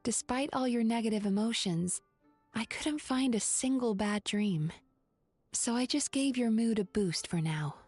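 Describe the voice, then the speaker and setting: Composed therapist, close dry recording. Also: female voice, fairly high pitch, breathy edge, no echo, faint music behind. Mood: thoughtful, caring. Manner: measured, articulate, gently reassuring. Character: composed, caring young woman therapist, gently wry. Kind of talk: English anime-style game voice acting.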